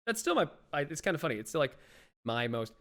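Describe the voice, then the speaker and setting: A young adult man hosting a podcast, chatting loosely, with lots of restarts and fillers and his voice often trailing off quietly. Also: fairly high pitch, very animated, fast. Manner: rambling, many restarts and "like", drops to quiet mumbles. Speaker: podcast host. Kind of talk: casual interview chat.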